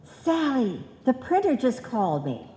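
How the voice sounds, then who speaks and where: A woman's voice: on the high side, huge pitch swings, measured pace, mellow. A woman playing a scatterbrained, cheerfully naive wife in a live old-time radio comedy. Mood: excited, chatty. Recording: echoey hall, live stage performance.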